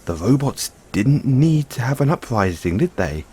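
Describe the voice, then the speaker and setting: A man playing an excitable, affectionate mad scientist boyfriend in an intimate audio roleplay, musing haltingly to himself and his partner. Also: medium pitch, breathy edge. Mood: amused, musing. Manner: musing, halting, chuckling asides, drawn-out reactions.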